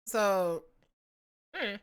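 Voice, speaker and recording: Lively young Southern-accented woman streamer. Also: mid-range voice, drawn-out words, clear, resonant tone. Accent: Southern American mixed with internet influences. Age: young adult. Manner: playful, giggly, self-mocking. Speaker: livestreamer. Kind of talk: casual stream chat.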